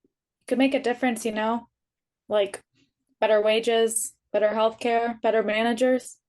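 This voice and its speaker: A woman's voice: fairly high pitch, flat delivery, unhurried, darkish tone, natural voice. A woman reading the part of a guarded, principled sixteen-year-old emo shop worker, blunt and dry, in a drama table read. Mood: dry, challenging.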